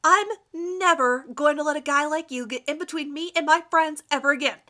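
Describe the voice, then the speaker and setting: Woman acting smirking teenage girl, high-pitched, bright, haughty, emphatic, dramatic fan fiction reading. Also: brisk. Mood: defiant. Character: shy redheaded teenage girl turned smirking and defiant.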